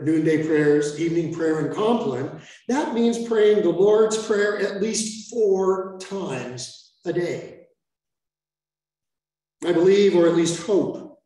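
An older American priest giving an earnest sermon that explains the text step by step, with self-aware asides. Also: male voice, fairly high pitch, mellow. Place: large church sanctuary. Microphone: far camera. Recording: echoey church from far off.

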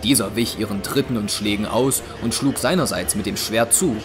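A man narrating a scripted audio drama as a measured storyteller. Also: lowish voice. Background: music.

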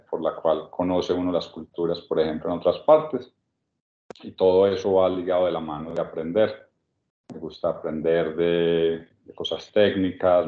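A Colombian man in his forties with a very low voice, speaking from a small room that sounds echoey. He tells his story in a relaxed, halting way full of pauses, and the webcam audio comes through a bit dull. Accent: Colombian, from Medellín. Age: 40s. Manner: relaxed, with many restarts and "eh" pauses. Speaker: guest speaker at a workshop. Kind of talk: personal story in a video call. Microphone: webcam on a video call.

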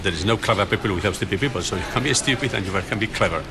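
A man's voice: lowish voice, very fast, a crowd in the background. A middle-aged man from the Basque Country, a native Basque speaker, talking in a newsroom in emphatic, repetitive lists and searching for words in English. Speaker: Basque newspaper figure. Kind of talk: interview in English. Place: busy newsroom office.

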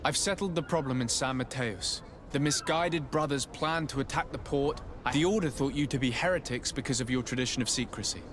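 A man's voice: medium pitch, fairly quick, few pauses, background noise, lightly acted. A young man playing an earnest, loyal noble envoy in a video game, speaking formally. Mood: composed. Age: young adult. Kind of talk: scripted video game acting.

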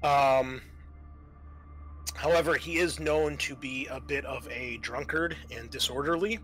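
Bright-voiced man running a tabletop game. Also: medium pitch, soft background music, poor audio. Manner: halting, thinking aloud. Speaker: game master and host. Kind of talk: improvised tabletop game narration.

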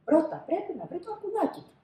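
A woman in her thirties narrating a children's storybook expressively, with dramatic pauses and emphasis. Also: very high-pitched, very animated, warm tone, a little hiss or hum. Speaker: storybook reader. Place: small indoor room, seated. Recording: roomy indoor echo.

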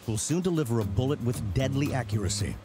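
A man reading scripted television documentary narration in a measured way with dramatic emphasis. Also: medium pitch, big pitch swings. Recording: music behind the voice. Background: background music.

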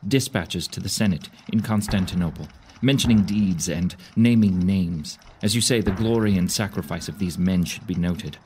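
A man acting as a commanding Roman general in a full-cast audio drama. His voice is very deep, with a genial, fatherly authority and wry formality. Faint noise runs underneath. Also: normal speed, few pauses, a little mellow, natural voice.

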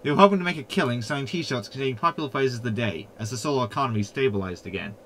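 A man's voice: medium pitch, very fast, fairly bright tone, a little hiss or hum, lightly acted. A man playing a sardonic, know-it-all hologram in a sci-fi sitcom audio drama, pompous and wordy. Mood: pedantic.

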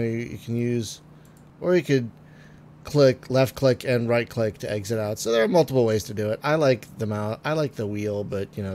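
A man getting over a cold, phlegmy and clearing his throat, chatting casually through a game with many ums and trailing remarks. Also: medium pitch, slight background noise. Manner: chatty, frequent ums and uhs, trailing off quietly. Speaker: gaming video host. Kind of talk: casual let's-play commentary.

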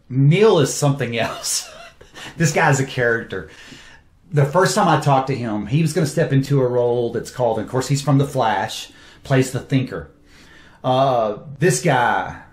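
A man, a film director, talks through his cast with eager, animated enthusiasm. His voice sits at a middle pitch and carries a roomy echo. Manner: enthusiastic, animated storytelling with quoted asides. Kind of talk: casual interview talk.

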